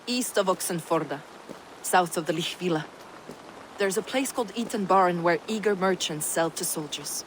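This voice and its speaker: An actress playing a learned, devout mystic woman in a video game, cryptic and a little condescending, with measured, ornate speech. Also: fairly low pitch, slight background noise, natural voice, poor audio. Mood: wry, composed. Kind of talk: video game cutscene acting.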